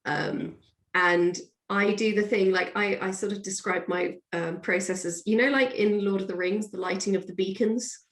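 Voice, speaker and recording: A woman's voice: mid-range voice, fairly even intonation, normal speed. A woman guest author talking casually and with animation in an interview, often acting out what people say. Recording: echoey, roomy sound.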